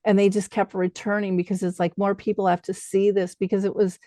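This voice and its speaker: A woman speaks as the host of a talk show. Her voice sits at a medium pitch and her delivery is conversational. The sound is dry, with very little room in it. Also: little pitch movement, brisk, dark tone, no room sound. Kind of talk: conversational interview hosting.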